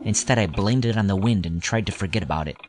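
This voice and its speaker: A man's voice: on the low side, fast talker, a little mellow, a little hiss or hum, natural voice. A man playing a grown-up wryly recalling his boyhood in scripted first-person audio drama narration. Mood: wry, nostalgic. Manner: wry, nostalgic storytelling.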